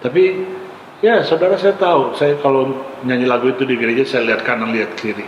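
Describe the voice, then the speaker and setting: A man's voice: mid-range voice, a little fast, highs cut off. A male pastor from Ambon, Indonesia, preaching a sermon in short, emphatic, exhorting phrases with repetition. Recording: echoey hall with long reverb, faint noise.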